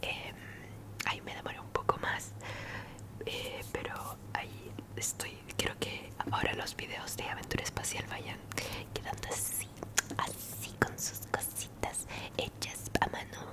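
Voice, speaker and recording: A woman whispers in ASMR style, softly repeating words and phrases. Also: unhurried. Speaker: ASMR creator and channel host.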